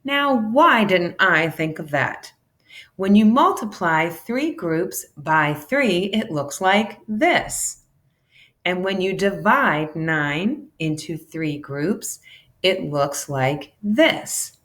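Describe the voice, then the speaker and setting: A woman, a teacher, reading a children's book aloud in an expressive, animated way that acts out the dialogue. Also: lowish voice, very slow.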